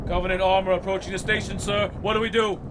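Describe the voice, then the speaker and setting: Guy doing a tense cop taking orders, high urgent voice, clipped, super noisy vehicle background, sci-fi drama. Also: level tone, fast, close to an everyday voice. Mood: alarmed. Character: tense, obedient male police officer under fire.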